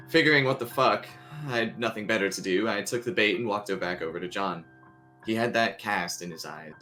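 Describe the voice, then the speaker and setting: A man's voice: fairly low pitch, a bit bright. A man reading a creepy story aloud as its narrator, with music playing underneath. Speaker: story reader.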